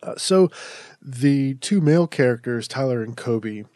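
A man hosts a casual horror review podcast, his voice mellow and a little nasal, like he is stuffed up from allergies. He talks in a chatty, rambling way, restarting his sentences as he goes. The sound is close and dry, with no room around it. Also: mid-range voice, lively intonation, measured pace, no room sound. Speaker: podcast host.

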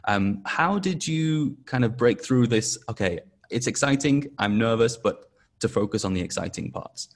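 A man's voice: mid-range voice, lively intonation, moderate pace. A British man interviewing a former pupil over a video call, asking questions with plenty of ums. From Britain. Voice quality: hesitant, many ums, gentle questions. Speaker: interviewer, former homeschool teacher.

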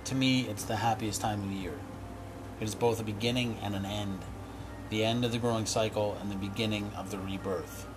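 A man hosting a short cooking podcast, slowly reading a wistful written piece in a hoarse voice, then speaking more casually. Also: on the low side, steady tone, music in the background. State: hoarse from illness. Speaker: podcast host, chef.

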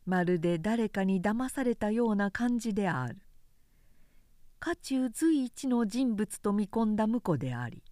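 A woman reading a literary period story aloud as narrator, in a measured, expressive storytelling manner. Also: medium pitch, no echo, clean studio sound. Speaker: narrator, reciter.

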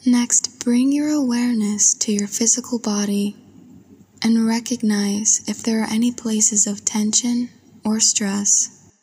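A woman narrating a guided meditation in a soft, breathy voice, with a soothing, gentle and unhurried manner. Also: fairly high pitch, steady tone. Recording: long washy reverb.

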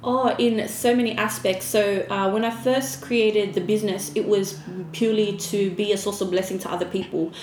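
A woman's voice: medium pitch, fairly even intonation, normal speed, hardly any pauses, a little mellow. Woman guest, echoey noisy room. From Fiji-born, raised in Australia. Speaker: podcast guest, small business owner. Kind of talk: casual interview chat. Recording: echoey room with background noise.

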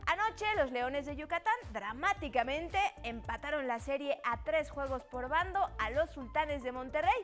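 High-voiced Mexican woman news anchor. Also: expressive, moderate pace, few pauses, fairly bright tone, soft background music. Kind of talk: broadcast news presenting.

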